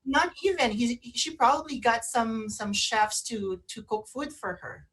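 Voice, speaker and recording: A woman co-hosting a radio show in casual chat, halting and often repeating herself. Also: on the high side, fairly bright tone, poor audio.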